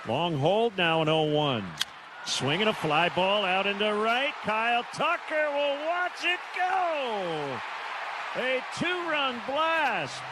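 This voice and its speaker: A man calling live baseball on the radio in clipped, measured phrases with pauses between plays. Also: high voice, very animated, a crowd in the background. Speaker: baseball play-by-play announcer. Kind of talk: live radio play-by-play commentary. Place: stadium broadcast booth. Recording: noisy stadium radio broadcast.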